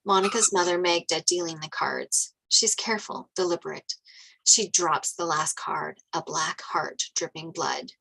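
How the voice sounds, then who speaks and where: Low, bright woman narrator with creak. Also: unhurried, poor audio. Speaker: narrator reading stage directions. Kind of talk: scripted screenplay narration at a table read.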